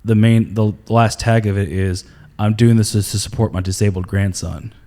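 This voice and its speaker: A man's voice: lowish voice, fairly even intonation, normal speed, dark tone. Man, Southern US accent, easygoing, casual podcast chat, plainspoken. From North Carolina. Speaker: comedian guest.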